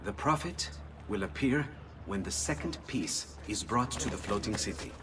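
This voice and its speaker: An actor playing an eager male inventor and friend in a game cutscene, speaking in an excitable way. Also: lowish voice, normal speed, distant mic, background noise, close to an everyday voice. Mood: excited. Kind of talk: video game cutscene acting.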